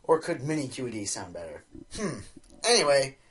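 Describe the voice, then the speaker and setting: A man playing an excitable, scheming inventor pony in an audio drama, geeky, hesitant and boastful. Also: mid-range voice, a little fast, close to an everyday voice. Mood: excited, boastful.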